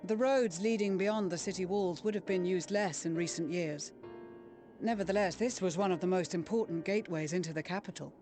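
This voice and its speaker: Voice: medium pitch, a little fast, darkish tone, soft background music, natural voice. A performer voicing an authoritative, formal military commander in a video game, giving orders. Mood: commanding, composed.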